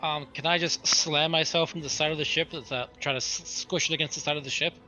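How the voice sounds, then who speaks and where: A man's voice: fairly high pitch, steady tone, fast talker, pushed and bright, soft background music, rough recording. A man chatting casually as a player in a tabletop roleplaying game.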